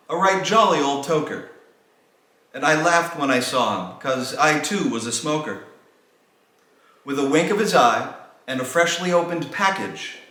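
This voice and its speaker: A man's voice: fairly high pitch, bright, forceful tone. A man giving a theatrical recital of a rhymed holiday poem, with a dramatic sing-song delivery. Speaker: storyteller reading his own poem. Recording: very echoey, reverberant room sound.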